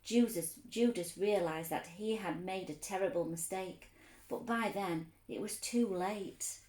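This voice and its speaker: A woman reading a story aloud for children, expressive, explaining things simply as a teacher would. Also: mid-range voice, measured pace, roomy sound, a little hiss or hum.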